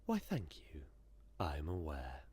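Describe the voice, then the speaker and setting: Man playing a low, condescending, menacing inhuman being. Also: huge pitch swings, a little mellow, husky, dry recording, exaggerated voice. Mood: sardonic lecturing. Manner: sardonic, theatrical, deliberate. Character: menacing, condescending inhuman entity, male, drily aloof. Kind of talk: audio drama acting.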